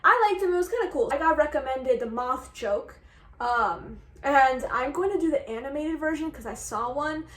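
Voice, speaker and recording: A woman's voice: high-pitched, lively intonation, moderate pace, fairly bright tone, echoey room, recorded from a distance. A woman giving casual, slangy reaction commentary as the host of a video. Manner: informal, slangy.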